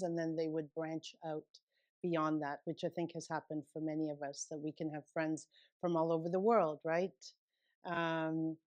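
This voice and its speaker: A woman giving a relaxed, conversational lecture, thinking aloud and taking questions. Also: low voice. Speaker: guest lecturer. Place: lecture room.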